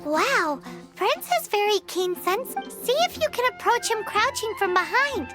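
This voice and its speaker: A tiny, excitable fairy companion from a video game. The voice is squeaky and extremely high, with lively swoops in a chirpy, childlike delivery. Loud music plays behind it. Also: female voice, a little slow, exaggerated voice. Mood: instructive. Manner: speaks of herself in third person, chirpy. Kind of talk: video game character acting.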